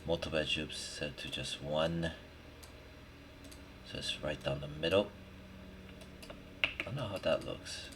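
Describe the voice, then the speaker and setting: A man thinking aloud in a casual screen-recorded software tutorial, muttering in short, slangy fragments as he works. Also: extremely low pitch, unhurried, long pauses. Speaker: 3D modeling tutorial host. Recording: clear background noise, keyboard and mouse clicks. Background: typing and mouse clicking.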